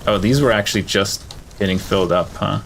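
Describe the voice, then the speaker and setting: A male streamer talks casually through his gameplay in a low voice with a gravelly fry. He thinks out loud as he plays, over clearly audible background noise. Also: a little fast. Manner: thinking aloud while playing. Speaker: game streamer. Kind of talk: casual live gameplay commentary.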